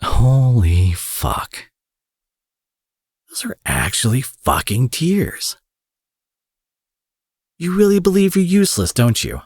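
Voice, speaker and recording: A man acting out a cocky, foul-mouthed young supervillain in an audio roleplay, husky, mocking and possessive, taunting his captive with drawled pet names. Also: mid-range voice, big pitch swings, slow, pauses often, darkish tone, no room sound, clean studio sound, heavily acted. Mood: incredulous, intense. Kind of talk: dramatic roleplay monologue addressed to the listener.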